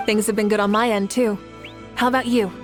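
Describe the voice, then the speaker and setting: A woman voicing a composed, caring, confident female leader in a video game, speaking in a measured, assured way. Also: mid-range voice, fairly even intonation, over music, natural voice. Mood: friendly, inquiring.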